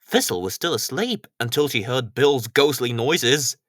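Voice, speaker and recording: A man's voice: mid-range voice, expressive, measured pace, a bit bright, no echo, studio quality. A man narrating a scripted children's story as storyteller.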